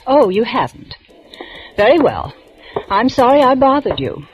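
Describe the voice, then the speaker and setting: An actress playing a prim, proper young librarian in a 1940s radio drama, speaking with precise, slightly indignant diction. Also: female voice, fairly high pitch, sing-song, measured pace, muffled recording, slight background noise. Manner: primly precise, a little indignant.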